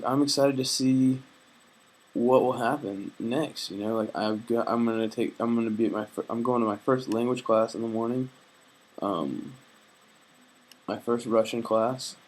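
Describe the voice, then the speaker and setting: A man's voice: lowish voice, low-quality recording. A young man recording a video diary, talking in a hesitant, reflective and earnest way, full of fillers and restarts, homesick. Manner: earnest, faith-minded. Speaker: vlogger. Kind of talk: casual personal video diary.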